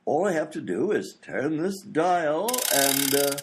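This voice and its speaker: A man's voice: on the high side, sing-song, takes it slowly, heavy background noise, exaggerated voice. A man playing a fussy, absent-minded British doctor and inventor in a comedy radio play, blustering and full of hesitations. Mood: dithering.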